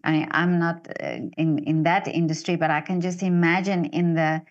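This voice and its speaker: Brief: woman interviewer, lowish voice, halting and searching for words. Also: level tone, dull audio. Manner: halting, with many fillers and restarts. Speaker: interview host. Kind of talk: casual interview chat.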